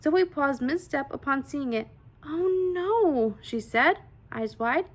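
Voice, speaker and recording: High-pitched woman reading a children's storybook. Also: animated, a little slow, a bit bright. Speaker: children's read-aloud host. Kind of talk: expressive storybook narration for children.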